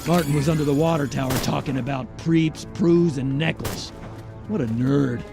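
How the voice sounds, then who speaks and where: A man's voice: on the high side, normal speed, vocal fry, music in the background. A man playing a gossipy army soldier in a video game, complaining and mocking as he talks. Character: griping, gossipy young male army soldier. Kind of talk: video game cutscene acting.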